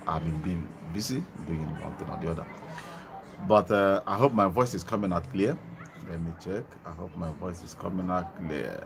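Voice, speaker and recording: A man's voice: low-pitched, noisy background. A man hosting an online TV talk, speaking casually into a phone and earphone mic with lots of ums and restarts. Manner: rambling, many ums and restarts. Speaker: online TV host. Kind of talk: casual livestream commentary. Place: outdoors in Germany. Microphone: mobile phone with earphone mic.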